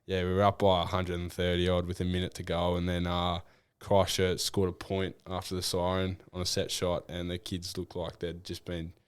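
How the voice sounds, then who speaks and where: A young Australian man answering interview questions about his football in a relaxed, rambling way, with many fillers and restarts. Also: low voice, moderate pace, few pauses, clear, resonant tone, no echo. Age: young adult. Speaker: draft prospect guest. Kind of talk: casual interview.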